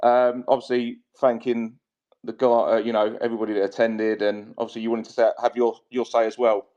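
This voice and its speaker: A man's voice: mid-range voice, level tone, a little fast, dark tone. A man hosting a casual online fan chat, talking with many fillers and restarts. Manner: hesitant, many fillers and repeats. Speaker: host. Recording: dull lo-fi sound.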